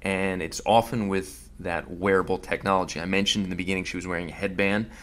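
A man's voice: sits low, fairly even intonation, fast talker, a bit bright. A man hosting a podcast, narrating his visit in a casual, conversational way with fillers and restarts. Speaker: host.

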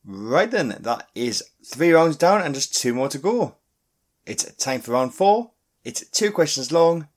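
A man hosting a podcast quiz, reading questions and answers in a scripted, upbeat and encouraging way. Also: mid-range voice. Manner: upbeat, encouraging, reads clues and answers slowly and clearly. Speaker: quiz host.

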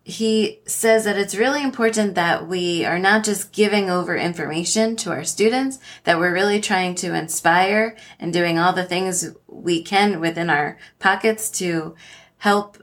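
A woman's voice: fairly low pitch, measured pace, a bit bright. A woman speaking as a podcast host, earnest and polite in how she presents and asks questions. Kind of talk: podcast introduction and interviewing.

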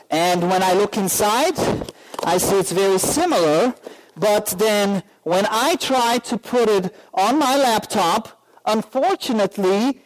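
A pastor delivers his sermon in a church. His voice sits high for a man, and he talks slowly, with many pauses and fillers as he tells a personal story. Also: low-quality recording.

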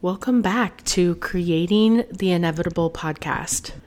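A woman's voice: on the low side, measured pace, a little mellow. A woman hosting a solo podcast as a business coach, talking in a chatty, encouraging way while congested from a cold.

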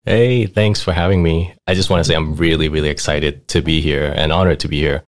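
Very deep-voiced man telling his story. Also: steady tone, fairly quick. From Thailand. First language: Thai. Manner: laid-back, laughs often, lots of "like" and "right?". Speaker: guest, finance manager. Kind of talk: casual interview storytelling.

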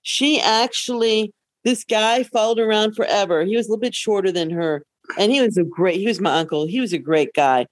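A woman's voice: medium pitch, a little fast, dry recording. A middle-aged woman co-hosting a podcast in casual conversation, blunt and wry, given to anecdotes and opinions. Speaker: podcast co-host.

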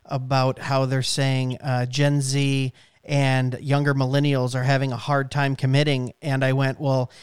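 A man in his late thirties hosting a casual chat, chatty and quick to laugh. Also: medium pitch, monotone, smooth, no echo, studio quality. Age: late 30s.

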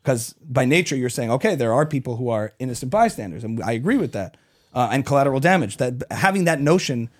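A man in an opinionated, animated conversation, arguing emphatically and piling clause upon clause. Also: mid-range voice, fast. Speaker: podcast guest.

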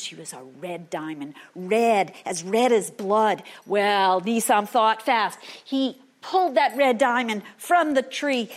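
A woman telling a folktale aloud in a dramatic manner, sometimes hushed for suspense. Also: on the high side. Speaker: storyteller. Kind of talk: oral folktale storytelling to an audience.

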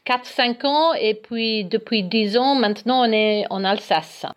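A woman's voice: fairly high pitch, measured pace. Hesitant woman in her sixties. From Northern Ireland. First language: English. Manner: hesitant, searches for words, many fillers. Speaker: guest, English teacher. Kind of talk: casual interview.

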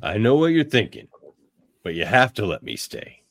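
Man acting a gravelly, cocky comic-book tough guy. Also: lowish voice, huge pitch swings, moderate pace, no room sound, clean studio sound, full character voice. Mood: cocky, dry. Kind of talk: live radio theatre read.

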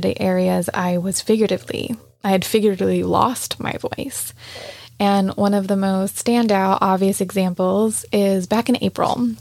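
A woman's voice: medium pitch, no echo, clean studio sound. Earnest Southern woman podcast host. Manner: warm, earnest, chatty with frequent y'all. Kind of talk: solo podcast monologue.

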